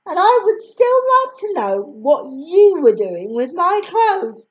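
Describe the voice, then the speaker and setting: A woman voicing a bored, flat-sounding teenage sibling in a storybook reading. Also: extremely high pitch, slow, highs cut off, voice pushed up, heavily acted.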